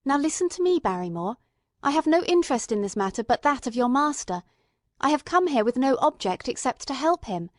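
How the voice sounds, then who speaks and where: A woman's voice: high voice, sing-song, brisk, no echo, clean studio sound, pitched above the performer's own voice. A woman voicing an inquisitive male doctor turned investigator in a mystery drama, firm, emphatic and questioning. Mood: urgent, firm.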